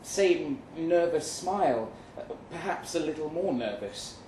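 A man performing a live short-story reading as a weary, jaded agent, speaking deliberately with dramatic pauses. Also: on the high side, lively intonation, a little mellow. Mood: wistful. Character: weary, jaded male agent narrating his own story. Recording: roomy live venue, some noise.

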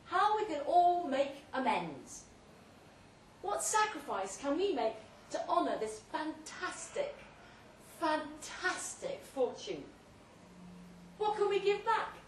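A woman plays a grandiose male celebrity chef, high on drugs and holding forth. The delivery is declamatory and slow, swinging widely in pitch. It rings out in a roomy space during a live story reading. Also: sing-song, exaggerated voice. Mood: pleading, grand. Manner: declamatory, pompous, emphatic. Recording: roomy, distant live recording, faint noise.

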